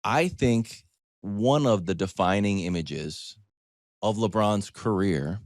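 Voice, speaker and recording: A man's voice: on the low side, slow pace, no echo. American man telling sports stories, dry sound. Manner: animated, digressive, self-deprecating. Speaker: sports TV commentator. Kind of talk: conversational sports talk storytelling.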